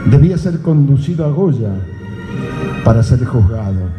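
A man's voice: mid-range voice, lively intonation, measured pace. A man delivering grave scripted narration in a radio drama. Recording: echoey hall, dramatic music behind.

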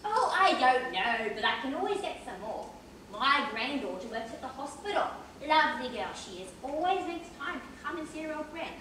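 Woman acting a high, swooping, dotty old lady. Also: very animated, normal speed, bright, forceful tone, exaggerated voice. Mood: chatty. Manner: rambling, theatrical. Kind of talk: amateur stage play acting. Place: large theatre stage, indoors. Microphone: far camera. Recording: echoey theatre from far off, noisy.

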